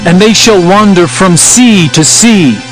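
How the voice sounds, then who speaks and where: A man's voice: high voice, a little slow. A man narrating a dramatized King James Bible reading in a solemn, declamatory manner. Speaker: scripture narrator. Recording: music under the voice. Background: music.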